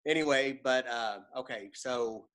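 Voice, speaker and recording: A man's voice: mid-range voice, a little slow, a bit bright. A man with a Texan accent telling his story on a video call from home, folksy and rambling with lots of hesitations. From Texas. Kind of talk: casual self-introduction. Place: home room with a lamp.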